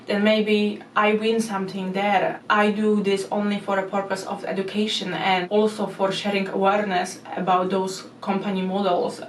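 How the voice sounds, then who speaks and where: A woman's voice: medium pitch, distant mic, slight background noise. A woman from Poland with a Polish accent, earnestly explaining a topic to her viewers in a casual monologue, her phrasing non-native and full of restarts. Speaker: YouTuber. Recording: roomy echo, speaker away from the mic.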